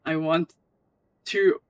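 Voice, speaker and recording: A woman streamer in the middle of a gameplay livestream, talking slowly and with plenty of giggles as she reads the dialogue choices out loud. Her voice has a middling pitch. The sound is dry and close to the mic. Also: no room sound. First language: not Russian.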